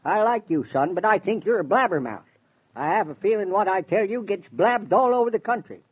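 Old rural American codger, high husky voice, folksy and cagey, repeats himself, vintage radio drama. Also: male voice, expressive, fairly quick. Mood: secretive, teasing. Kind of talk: 1940s radio drama acting. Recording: dull 1940s radio transcription.

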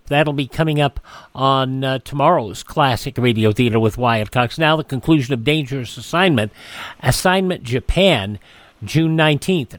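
A man's voice: fairly high pitch, no echo. A man presenting a classic radio programme as its host, announcing episodes.

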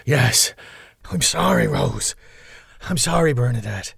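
A man's voice: medium pitch, lively intonation, takes it slowly, vocal fry, fairly bright tone, slightly rough, no echo, clean studio sound, pitched above the performer's own voice, heavily acted. A man voicing a starving male vampire in pain, hissing and pleading in an audiobook story. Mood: strained apology.

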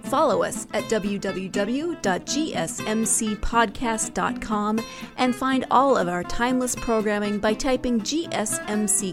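A woman reading scripted promotional narration as a podcast announcer, with music behind her. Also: on the high side. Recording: clear music bed behind.

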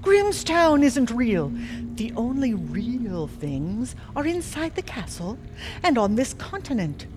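A woman's voice: a little high, slow, over music. A woman voicing an imperious, cruel queen who is scornful and prone to explosive outbursts. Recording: steady background noise.

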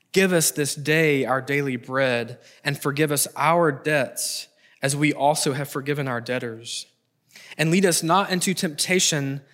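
A middle-aged man preaching a prepared sermon, earnest and emphatic, with deliberate pauses and repeated phrases for weight. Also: fairly high pitch, a bit bright.

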